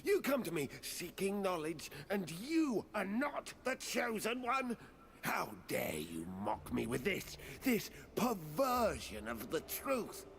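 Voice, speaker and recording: A man's voice: high voice, big pitch swings, slow pace, vocal fry, a bit bright, a little hiss or hum, heavily acted. A man playing an imperious, cryptic old keeper of knowledge in an audio drama, speaking with grave, theatrical formality. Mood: indignant, menacing. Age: older. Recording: dramatic music behind the voice.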